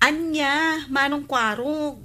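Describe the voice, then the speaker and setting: A woman's voice: high voice, slow, sharp tone, full character voice. An actress with an Ilocano accent playing a pert, indignant young woman being courted in a comedy radio drama. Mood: suspicious.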